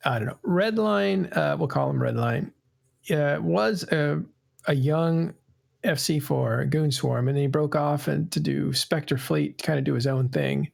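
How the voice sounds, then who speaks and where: Gravelly man hosting a panel chat. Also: fairly high pitch, measured pace, a little mellow. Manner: rambling, self-correcting. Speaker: podcast host.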